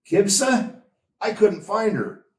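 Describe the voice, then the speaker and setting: A man's voice: high voice, very animated, takes it slowly, voice pushed up, exaggerated voice. An upset young shepherd boy from a storybook, read by a man, pleads in a raised, emphatic voice. It is plaintive and heard from a little distance in a roomy space. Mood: anxious. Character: upset young shepherd boy seeking his lost lamb. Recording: roomy echo, distant from mic.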